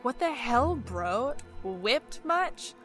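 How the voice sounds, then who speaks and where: An actress playing a young college senior at a job interview in a radio play, emphatic and colloquial. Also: female voice, fairly high pitch, very animated, extremely slow, fairly bright tone, faint music behind, exaggerated voice. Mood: brash, indignant. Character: female college senior job applicant, turning brash bro.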